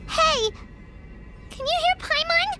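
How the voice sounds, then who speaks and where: A woman playing a tiny, excitable, indignant fairy companion with a squeaky voice in a game. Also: slow pace, faint music behind, exaggerated voice. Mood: demanding. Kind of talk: scripted game dialogue.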